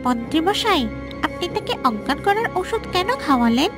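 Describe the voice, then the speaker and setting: An obedient young palace maid from a fairy-tale cartoon , acted by a woman. The voice is extremely high and animated, coaxing and deferential in tone, set over clearly audible music. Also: a little fast. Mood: questioning, uneasy.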